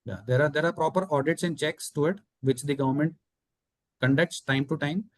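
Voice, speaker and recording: A man's voice: fairly high pitch, a little fast, no echo. A man with an Indian accent, a company executive giving formal, methodical answers on an earnings call.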